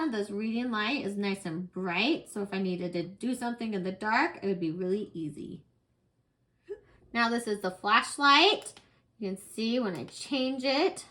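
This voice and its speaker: Higher-pitched woman demonstrating a product. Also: a bit bright. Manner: plain, step-by-step explaining. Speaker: product reviewer.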